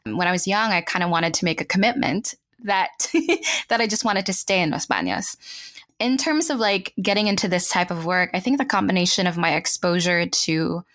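A woman's voice: lowish voice, expressive, normal speed, fairly bright tone, dry recording, studio quality. A woman from the Philippines speaking reflectively as an interview guest, thoughtful and often rewording as she goes.

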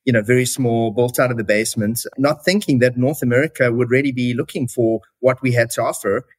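A man's voice: medium pitch, a little fast, no echo. A middle-aged man with a South African accent, speaking thoughtfully as an interview guest, with plenty of fillers. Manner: thoughtful, uses many fillers. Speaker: company CEO guest. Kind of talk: podcast interview.

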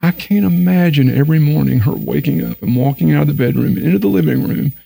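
Gravelly Texan man, earnest and emphatic. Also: on the high side, quick pace, warm tone. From Texas. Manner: earnest, emphatic, acts out quoted voices. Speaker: panel guest. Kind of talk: candid discussion panel talk.

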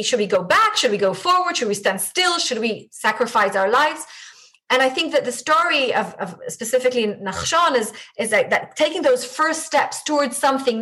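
A woman with an Israeli accent whose first language is Hebrew talks through her thoughts slowly, restarting phrases as she goes. Her voice sits a little high. She is a coach speaking as a podcast guest. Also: a bit bright. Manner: reflective, halting with many restarts. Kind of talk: podcast interview conversation.

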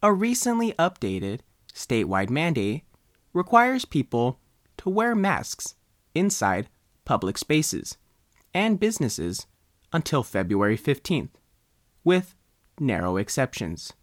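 A man with an American accent reading a scripted news report as a reporter, in deliberate phrases with frequent pauses. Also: medium pitch, big pitch swings, no echo, clean studio sound. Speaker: newspaper reporter narrating.

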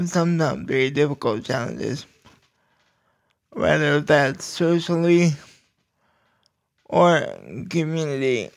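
A man hosting a podcast, giving a personal talk to listeners in halting, deliberate phrases that come in short bursts. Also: fairly high pitch, gravelly. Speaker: podcast host.

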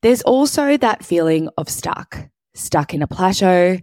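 A woman with a husky, hoarse voice, hosting a solo podcast and explaining business coaching step by step. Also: fairly low pitch, lively intonation, a little slow, darkish tone, no room sound, clean studio sound. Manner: explains step by step in clear lists. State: hoarse, sore throat.

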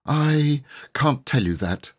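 A man's voice: mid-range voice, lively intonation, slow pace, slightly rough, voice pushed up. A man voicing an earnest, anxious but brave young boy making a pleading confession in a storybook reading. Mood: firm.

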